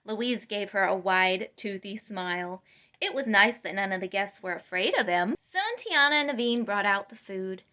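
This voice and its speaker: A woman reading a children's storybook aloud in an expressive, emphatic way. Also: medium pitch, moderate pace. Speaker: storytime reader.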